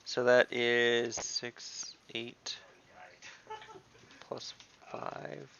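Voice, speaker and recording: A man's voice: medium pitch, completely flat delivery, extremely slow, gravelly, fairly bright tone, highs cut off, a little hiss or hum. A man chatting casually as a player in an online tabletop game, jokey and often thinking aloud.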